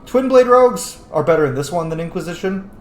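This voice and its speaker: A man's voice: high voice, expressive, brisk, a little hiss or hum. Opinionated man commentating in a roomy space. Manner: opinionated, emphatic. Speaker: gaming video host. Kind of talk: casual gameplay commentary.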